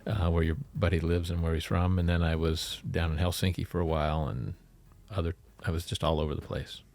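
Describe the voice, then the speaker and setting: A man's voice: low voice, little pitch movement, fairly quick, darkish tone, no room sound. A man chatting casually as a therapist and podcast co-host, plainspoken in his replies. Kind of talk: casual conversational chat.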